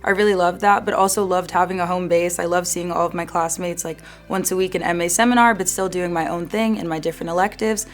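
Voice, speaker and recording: A woman's voice: on the low side, fairly even intonation, fast talker, hardly any pauses. A young woman in her twenties, a graduate student speaking casually and reflectively in an upbeat interview testimonial. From United States.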